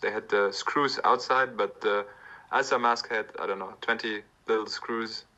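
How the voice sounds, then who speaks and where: A man chatting as a guest from his apartment over a video call. He talks in a friendly, joking, slightly halting way. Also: fairly low pitch, steady tone, sharp tone, lo-fi sound. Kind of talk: casual chat.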